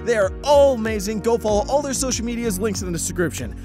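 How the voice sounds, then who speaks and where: A man's voice: sits high, lively intonation, fast, music in the background. A man hosting a video with an upbeat promotional delivery. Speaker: host and narrator.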